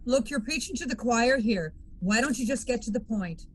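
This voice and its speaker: Woman acting a higher-voiced, guarded legal officer. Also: expressive, moderate pace, slight background noise, lightly acted. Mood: brisk. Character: dry, guarded female military legal officer. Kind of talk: science fiction script table read.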